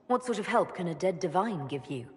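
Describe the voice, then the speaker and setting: Low-pitched, level-headed elven mage. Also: female voice, lively intonation, fairly quick, husky. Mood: curious, supportive. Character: frank, level-headed elven woman mage leading an order. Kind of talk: video game cutscene dialogue.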